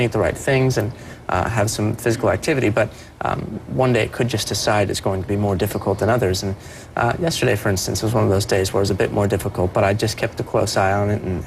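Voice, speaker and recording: A young man speaking as a performer guest on a TV interview, soft-voiced and earnest, often restarting his sentences. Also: fairly low pitch, vocal fry, slight background noise. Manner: earnest, with many restarts and repeated words. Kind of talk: TV morning show interview.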